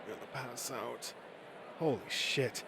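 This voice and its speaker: A man's voice: mid-range voice, unhurried, creaky, noisy background. A man voicing a weary, disgruntled comic convention-goer, groaning and complaining in a comic dub. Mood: exhausted griping.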